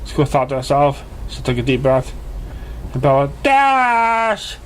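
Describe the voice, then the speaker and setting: A male amateur reader narrates a story in a halting way, tripping over words as he goes. His pitch is average for a man. The recording has clear background noise. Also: monotone, darkish tone. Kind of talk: scripted fan fiction narration.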